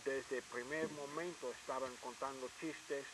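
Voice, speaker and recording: A man's voice: mid-range voice, fairly even intonation, unhurried. A man from Miami with an American English accent, a native English speaker answering in hesitant Spanish as an interview guest. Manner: hesitant, filler-heavy. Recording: hissy TV broadcast audio.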